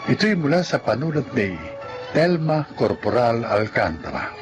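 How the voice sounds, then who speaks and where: Male announcer for radio drama, solemn deliberate narration, expressive swings in pitch, prominent music underneath. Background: background music.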